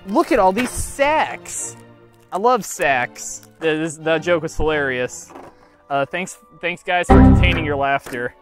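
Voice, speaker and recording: A young man with a fairly high voice rambles through a toy unboxing, cracking deadpan jokes and stumbling over fillers. He's recorded on a lapel mic outdoors by a field. Soft music plays faintly underneath. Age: young adult. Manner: rambling, self-mocking, halting with many fillers. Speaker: YouTuber unboxing toys. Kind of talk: jokey deadpan unboxing vlog.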